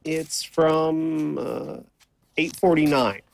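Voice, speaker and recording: An actor playing an impulsive, sarcastic young man on an adventure in an audio drama, blunt and excitable. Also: on the high side, drawn-out words, vocal fry, heavily acted. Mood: impatient.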